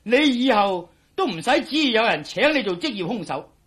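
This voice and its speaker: An actor playing a worried, blustery police officer in a radio drama, speaking Hong Kong Cantonese with emphatic, sighing delivery. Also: male voice, high voice, big pitch swings, moderate pace, fairly bright tone, breathy edge, exaggerated voice. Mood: tense, defiant.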